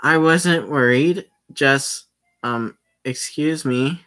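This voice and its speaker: A man's voice: a little high, extremely slow, bright, forceful tone, exaggerated voice. A man reading a nervous young woman in a visual novel, stammering and hesitant. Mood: flustered.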